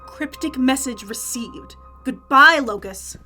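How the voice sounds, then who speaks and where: Woman playing a snappish, high-voiced, defensive woman. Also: takes it slowly, pushed and bright, faint music behind, heavily acted. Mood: dismissive. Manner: snappy, sarcastic, dismissive. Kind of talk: audio drama acting.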